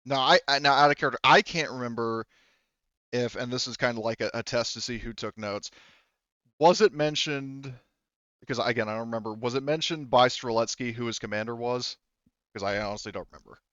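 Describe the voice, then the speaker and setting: A man running a tabletop roleplaying game as game master, explaining casually and haltingly, often repeating and rephrasing himself. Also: mid-range voice, fairly bright tone, clean tone, dry recording, muffled recording.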